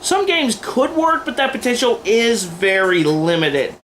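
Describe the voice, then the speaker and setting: A man with a high-pitched voice gives opinionated commentary. He stresses his points emphatically, with a sardonic edge and crude humour. The homemade recording carries some background noise. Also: big pitch swings, measured pace, fairly bright tone. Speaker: video commentator. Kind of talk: opinionated scripted-style commentary with ad-libs. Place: indoors, in front of a running TV. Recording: clear background noise, home camera.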